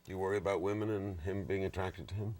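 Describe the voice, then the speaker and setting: A low-pitched man runs a television interview. He fires off short, probing questions and slips in quick remarks between answers. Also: fairly even intonation, darkish tone. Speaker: talk show host.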